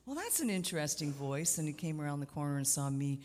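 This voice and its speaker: A woman from Canada, a country singer, answering interview questions on a radio show in a low voice, with many fillers and restarts. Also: sing-song, quick pace, a little mellow, a little hiss or hum. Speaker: guest musician.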